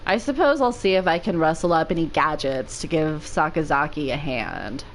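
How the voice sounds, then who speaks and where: A woman's voice: deep voice, expressive, normal speed, slight background noise. A woman giving a spontaneous dramatic reading of a visual novel as a let's play narrator, over game music. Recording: music playing behind the voice.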